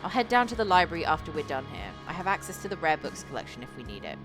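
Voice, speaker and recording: Visual novel game: woman as proud, helpful bookworm woman, low-pitched, confident, matter-of-fact. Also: sing-song, racing. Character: proud, helpful bookish woman offering research. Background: music.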